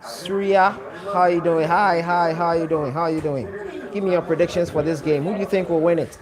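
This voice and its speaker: A man's voice: on the high side, fairly even intonation, moderate pace, crowd noise. A man from Ghana hosting a live football watch-along, chatting and greeting viewers by name as he reads their comments. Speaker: watch-along stream host. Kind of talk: live stream chat reading viewer comments.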